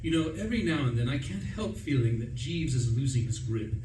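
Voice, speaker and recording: A man acting a flustered, breezy young upper-class English gentleman who narrates a radio play in pompous, chatty old-fashioned slang. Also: mid-range voice, expressive, fairly quick, natural voice. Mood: breezy, self-satisfied. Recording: roomy, distant stage recording with faint noise.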